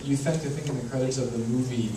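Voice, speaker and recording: A man's voice: medium pitch, fairly even intonation, fast, dark tone. A man putting a casual, conversational question during a panel. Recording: distant, echoey room with faint noise.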